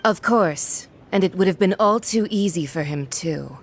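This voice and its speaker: A woman voicing a cold, haughty villainess in a video game, mocking and condescending in an unhurried way. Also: on the low side, animated, music in the background. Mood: smug. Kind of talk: video game character dialogue.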